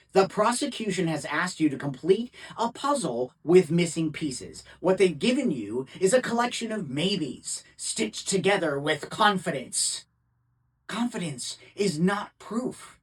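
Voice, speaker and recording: Casting: male actor as persuasive defense attorney addressing jury, husky voice, dramatic and very dynamic intonation. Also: on the high side, recorded from a distance, exaggerated voice. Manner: emphatic, deliberate rhetorical pauses and repetition. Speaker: actor performing a monologue. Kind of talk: dramatic courtroom closing-argument monologue.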